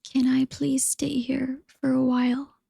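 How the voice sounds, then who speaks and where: A woman's voice: high-pitched, little pitch movement, unhurried, gravelly, slightly rough, no room sound, studio quality, full character voice. A woman voicing a sad, lonely young male duckling in a whispered storybook reading. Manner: soft whispery, sorrowful.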